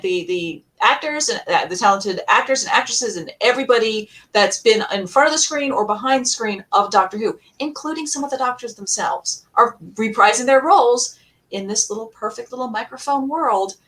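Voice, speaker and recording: A woman's voice: on the high side, normal speed, a bit bright, poor audio. A woman on a fan panel, talking casually and enthusiastically, stressing points by repeating words.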